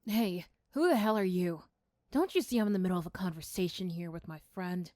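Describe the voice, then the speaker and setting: A woman's voice: fairly low pitch, fairly quick, a little mellow, no room sound, studio quality, close to an everyday voice. A woman voicing a smug female delinquent bully who talks in a taunting, confrontational way in an audio roleplay. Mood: irritated, confrontational. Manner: mocking, confrontational.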